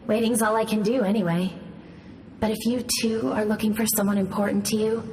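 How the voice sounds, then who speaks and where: An actress playing a patient, resigned woman in a video game, gentle and melancholy. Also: mid-range voice, level tone, a little fast, slight background noise, close to an everyday voice, low-quality recording. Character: patient, resigned woman long waiting for someone.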